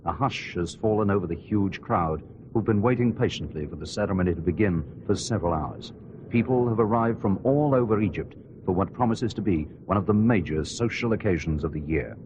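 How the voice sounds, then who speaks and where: Low-pitched male outside-broadcast commentator, acted, reverent and measured ceremonial style, noisy ambience behind. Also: lo-fi sound, lightly acted. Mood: solemn, measured. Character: solemn court reporter commentating on a royal ceremony. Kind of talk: hushed ceremonial live commentary, scripted. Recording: clear background noise and ambience.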